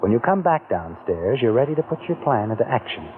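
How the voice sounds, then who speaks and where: A man's voice: mid-range voice, big pitch swings, a little fast, a little hiss or hum. Insinuating man narrating an old radio thriller. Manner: insinuating, teasing questions to the listener. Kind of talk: second-person radio drama narration. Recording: tinny old radio broadcast.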